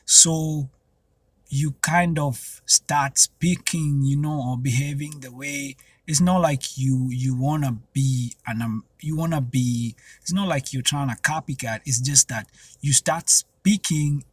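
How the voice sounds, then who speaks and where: A young man with a Kenyan accent talks casually to his viewers, looping back and restarting his sentences. His voice sits at a middle pitch with a husky, slightly rough edge. It is recorded close and dry, with no room sound. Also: takes it slowly, no echo. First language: Swahili and another Kenyan language. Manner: rambling, with many restarts and fillers. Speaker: vlogger. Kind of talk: casual talk to camera.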